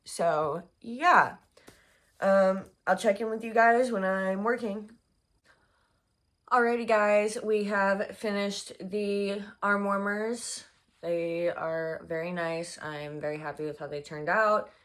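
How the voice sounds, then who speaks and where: Hoarse, slow-talking young woman. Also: medium pitch, fairly even intonation, a bit bright. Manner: rambling, many fillers, unhurried. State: losing her voice, congested. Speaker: crochet vlogger. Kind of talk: casual vlog chat.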